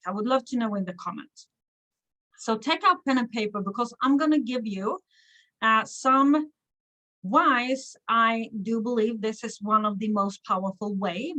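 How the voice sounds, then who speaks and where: A woman's voice: on the high side, fairly bright tone, rough recording. A woman from Sweden with a Swedish accent, speaking English as a second language, hosting a live stream as a business coach and addressing viewers directly in an encouraging way. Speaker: online business coach and livestream host. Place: hotel room.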